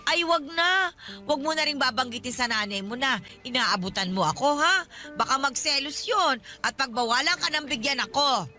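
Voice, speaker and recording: A woman's voice: sits high, expressive, moderate pace, sharp tone, soft background music. An actress playing a pushy, needy elderly godmother in a Filipino radio drama, wheedling and insistent as she talks. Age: older.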